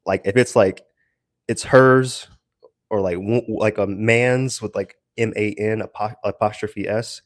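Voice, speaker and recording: A man giving a casual, rambling audio log as a solo creator, haltingly searching for words with self-deprecating asides. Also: fairly low pitch, steady tone, no echo, clean studio sound.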